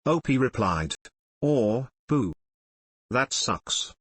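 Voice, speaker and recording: A man's voice: medium pitch, very animated, very slow, slightly rough, no room sound. A man narrating forum stories and comments from a script, in the role of a reader. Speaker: narrator reading online forum posts and comments.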